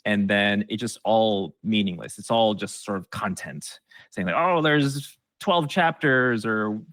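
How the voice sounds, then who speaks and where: Man, lowish voice, conversational expert advice, voices imagined reactions, close dry recording. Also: unhurried, a bit bright, dry recording. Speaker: game trailer expert, interview guest. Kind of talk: casual explanatory interview talk with advice.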